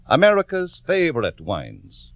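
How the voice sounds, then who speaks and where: A man's voice: a little high, huge pitch swings, unhurried. A man delivering a polished, emphatic 1940s radio commercial as announcer. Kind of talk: 1940s radio advertising pitch. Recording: dry, muffled old radio recording.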